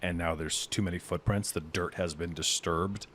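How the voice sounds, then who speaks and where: A man's voice: low voice, steady tone, normal speed, fairly bright tone, a little hiss or hum. A man narrating a tabletop game as the game master.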